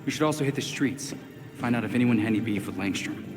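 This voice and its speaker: Actor as a casual young vigilante. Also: male voice, medium pitch, quick pace, natural voice. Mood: easygoing, eager. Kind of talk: video game cutscene acting. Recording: long reverb, music behind.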